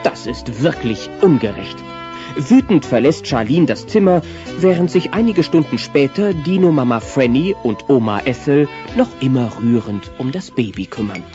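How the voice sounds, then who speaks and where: A man narrating a German children's audio drama in a mellow, scripted storybook style. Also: mid-range voice, lively intonation, normal speed, lightly acted. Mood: storytelling. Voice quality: mellow, warm. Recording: dull cassette recording, faint music behind.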